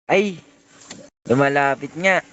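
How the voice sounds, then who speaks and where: A man's voice: a little high, slow pace, a bit bright, muffled recording. A man with a Filipino accent chatting casually while playing a mobile game. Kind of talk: casual chat while gaming. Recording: background noise under voice chat.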